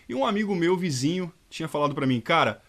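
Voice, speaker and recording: A man, a fan dub voice actor chatting in a lively, nostalgic way to camera. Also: on the high side, fast talker. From Rio de Janeiro state, Brazil. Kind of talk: casual nostalgic testimonial. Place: dark room indoors.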